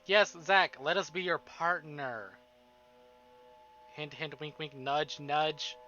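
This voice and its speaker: Excitable guy streamer gushing over a game, really bright sharp voice, slightly dull audio w music behind. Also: a little high, takes it slowly. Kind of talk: live playthrough commentary.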